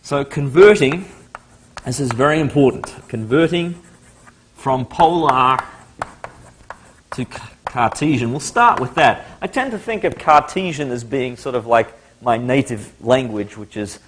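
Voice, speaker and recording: A man's voice: medium pitch, animated, measured pace, a little hiss or hum. A middle-aged man with an Australian accent, giving a live maths lecture in a chatty way, thinking aloud at the board.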